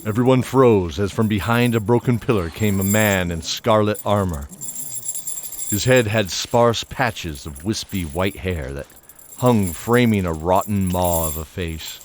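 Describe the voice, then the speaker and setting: A man's voice: lowish voice, measured pace, slight background noise. A man reading scripted narration for a fantasy audio drama in a descriptive storytelling manner.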